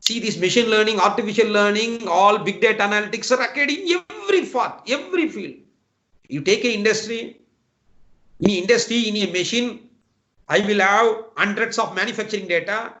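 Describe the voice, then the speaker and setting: A man's voice: sits high, lively intonation, normal speed, sharp tone. A middle-aged man with a Tamil Nadu Indian English accent, giving an earnest online motivational lecture to engineering students and often calling them "my dear friend". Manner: earnest, repetitive, addresses listeners as "my dear friend". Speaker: guest speaker. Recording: dull lo-fi online talk audio.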